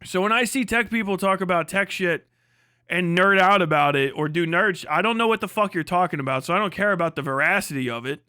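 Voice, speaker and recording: Brash, bright-voiced man with high pitch. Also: fast, clean tone, no echo, clean studio sound. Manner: brash, profane, mocking, emphatic. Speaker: livestream host. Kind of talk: casual livestream rant.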